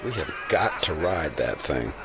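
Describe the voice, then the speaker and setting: A man's voice: rumbling low, sing-song, unhurried, exaggerated voice. An actor playing a wry, understated male federal agent in an audio drama set at a busy fair. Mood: wry teasing. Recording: loud fairground crowd and music.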